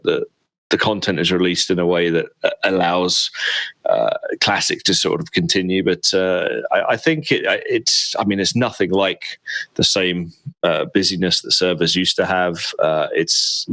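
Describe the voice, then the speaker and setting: Halting deep-voiced man, a podcast guest. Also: a bit bright, no room sound. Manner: halting, full of "uh" and restarts. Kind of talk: casual gaming podcast chat.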